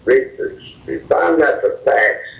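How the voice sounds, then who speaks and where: A hoarse man calling in to a radio show, talking hesitantly with many fillers. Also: medium pitch, slow pace, reverberant, lo-fi sound. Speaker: caller.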